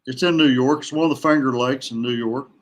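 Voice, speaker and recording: Halting man chatting casually. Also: mid-range voice. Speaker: guest, veteran kayak angler. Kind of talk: casual podcast chat.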